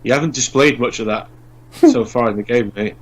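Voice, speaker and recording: A man's voice: mid-range voice, sing-song, fairly quick, rough recording. A British man giving casual co-commentary on a video game. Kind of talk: casual gameplay commentary chat. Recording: loud game music behind.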